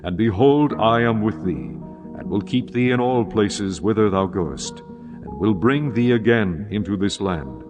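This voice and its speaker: A man narrating scripture in a measured, reverent manner. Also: lowish voice, animated, highs cut off. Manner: measured, reverent, archaic diction. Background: guitar music.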